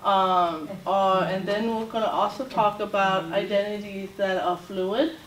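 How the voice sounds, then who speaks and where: A woman from Latvia giving an informal talk to a group as a self-advocate, speaking haltingly with many fillers and restarts. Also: medium pitch, steady tone, slight background noise. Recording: roomy echo, voice far from mic, faint ambience.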